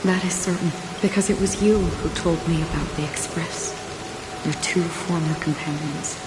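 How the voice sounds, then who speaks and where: An actress voicing a solemn female watcher who guides lost souls, speaking in a calm, measured way and softly whispering at times. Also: on the low side, close to an everyday voice. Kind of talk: game cutscene voice acting. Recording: rain noise behind the voice.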